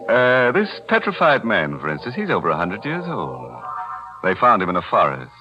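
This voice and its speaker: Deep-voiced, ghoulish radio horror host. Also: male voice, huge pitch swings, a little slow, full character voice. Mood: gleefully macabre. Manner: sly, punning, theatrical. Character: ghoulish, gleefully macabre male horror host. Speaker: horror anthology host and narrator. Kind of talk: 1940s radio horror hosting. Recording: dull 1940s radio broadcast with music.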